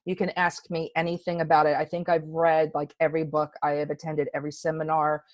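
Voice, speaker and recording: Female testimonial speaker, lower-than-average voice, earnest and conversational with heavy emphasis, very little room sound. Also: completely flat delivery, brisk, no room sound. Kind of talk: casual personal testimonial.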